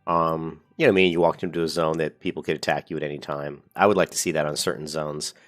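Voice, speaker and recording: A very deep-voiced man talks through a gaming video while fighting a cold, and his voice sounds stuffy and congested. He rambles, corrects himself mid-sentence and gets upbeat over features he likes. Faint music plays underneath. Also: level tone, quick pace, a bit bright. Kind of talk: casual commentary reading a website.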